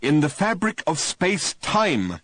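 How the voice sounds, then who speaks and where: A man's voice: mid-range voice, big pitch swings, moderate pace, slightly rough, dry recording, voice pushed up. A man doing an eager, emphatic traveller. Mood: patient. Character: restless, eager, mysterious male traveller. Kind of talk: audiobook reading.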